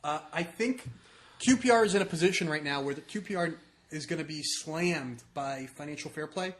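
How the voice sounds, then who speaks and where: Male podcast co-host, slightly high-pitched, conversational soccer chat, circles back over his own phrases. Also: fairly quick. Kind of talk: casual soccer discussion.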